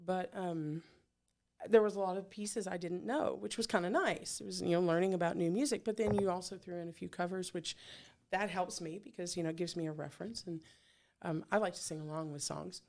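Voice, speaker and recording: A woman's voice: on the low side, steady tone, fairly quick, a little mellow, a little hiss or hum. Chatty middle-aged woman hosting. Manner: chatty, rambling, many fillers and restarts. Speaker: podcast host. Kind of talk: casual interview chat.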